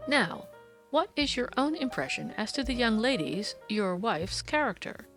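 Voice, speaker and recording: A woman voicing a suave, self-assured gentleman detective, courteous and precise, in an audiobook. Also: fairly high pitch, sing-song, a little slow, over music. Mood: probing. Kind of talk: audiobook character dialogue.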